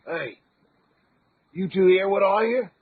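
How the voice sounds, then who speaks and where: An actor playing a rough, uneducated, surly adventurer with blunt, slangy speech in an old-time radio serial. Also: male voice, high-pitched, slow pace, exaggerated voice. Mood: wary. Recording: tinny radio recording with clear background noise.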